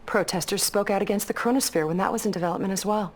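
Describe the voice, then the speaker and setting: A woman playing a corporate spokesperson giving smooth, placating press statements. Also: mid-range voice, fast, close to an everyday voice. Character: reassuring female corporate spokesperson.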